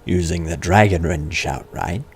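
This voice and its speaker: A man's voice: low voice, a little slow, a little hiss or hum. A man acting out the player character's lines in fantasy game dialogue. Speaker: gamer voicing the player's lines. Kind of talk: acted reading of game dialogue.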